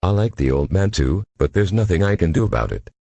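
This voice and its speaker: A man playing an exasperated, forceful assistant district attorney with a husky voice in a radio play. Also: deep voice, lively intonation, fairly quick, dry recording, muffled recording, full character voice. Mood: exasperated, defensive.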